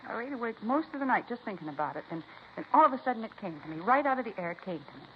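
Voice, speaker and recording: A woman's voice: on the high side, very animated, racing. An American woman playing a chatty, self-assured supermarket cashier in a 1950s radio drama, folksy and emphatic, repeating herself. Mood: eager, certain. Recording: muffled, hissy 1950s radio recording.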